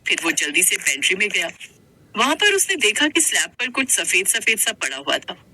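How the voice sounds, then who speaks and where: A woman's voice: medium pitch, big pitch swings, normal speed, sharp tone, husky, no room sound, rough recording. A woman narrator reading a scripted moral story in Hindi.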